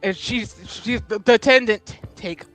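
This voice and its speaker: A game master narrating a tabletop roleplaying game in a casual, halting, informal way. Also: mid-range voice, fairly bright tone. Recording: music playing behind the voice.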